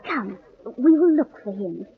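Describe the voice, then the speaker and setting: Tinny noisy radio drama, bold young wife of a pirate, higher voice, intimate, coy, deliberate. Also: female voice, big pitch swings. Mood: teasing. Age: young adult.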